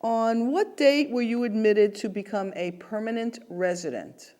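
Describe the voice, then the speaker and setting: A woman playing an interviewer, asking formal questions in a calm, polite way. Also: fairly high pitch, unhurried. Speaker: mock interviewer. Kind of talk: scripted role-play dialogue.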